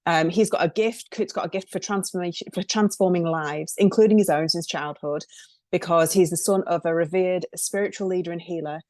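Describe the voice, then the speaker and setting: A woman's voice: fairly low pitch, steady tone, a little fast, darkish tone, no room sound. A woman hosting a podcast, introducing her guest in a chatty, enthusiastic way with lots of fillers. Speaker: podcast host.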